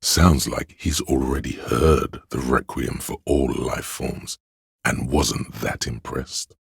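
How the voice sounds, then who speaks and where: Cavernous, gravelly, pretentious inner voice. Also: male voice, fairly even intonation, takes it slowly, clean studio sound, full character voice. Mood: arty enthusiasm. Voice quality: very deep, gravelly, husky. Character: male inner voice of conceptual, artistic thought, wry and pretentious. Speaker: game narrator. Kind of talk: theatrical game narration.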